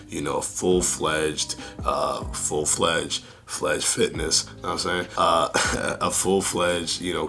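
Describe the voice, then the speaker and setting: A young man with a hoarse, raspy voice, rambling casually through a fitness vlog update with many fillers. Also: a bass voice, pushed and bright. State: hoarse, losing voice. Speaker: fitness YouTuber.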